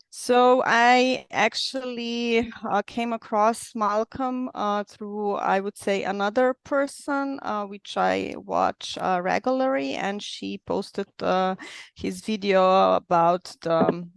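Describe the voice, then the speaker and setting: A woman from Slovenia, a non-native English speaker with a Slovenian accent, introducing herself haltingly with frequent pauses and fillers. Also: mid-range voice, creaky, clean tone, dry recording. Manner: hesitant, many pauses and fillers. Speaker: session participant.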